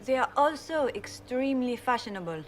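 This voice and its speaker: Prim, fairly high-voiced lady courtier. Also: animated, moderate pace, soft background music. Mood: prim, eager. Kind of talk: video game cutscene acting.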